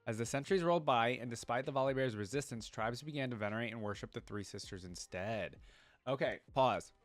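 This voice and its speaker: A man hosting a reaction video in an informal way, reading aloud and thinking out loud as he comments. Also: medium pitch, fairly quick, no echo, faint music behind.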